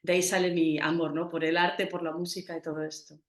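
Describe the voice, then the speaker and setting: A woman's voice: low voice, little pitch movement, fairly quick, roomy sound. A woman from Madrid with a Madrid Spanish accent, a festival organizer answering interview questions earnestly. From Madrid, Spain. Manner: earnest, with frequent "¿no?" tags and restarts.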